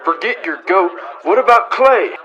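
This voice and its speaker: A man acting a stern, commanding western marshal in a radio drama, blunt and forceful, barking orders. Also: high-pitched, expressive, normal speed, muffled recording. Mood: barking, urgent. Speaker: actor in a student radio drama.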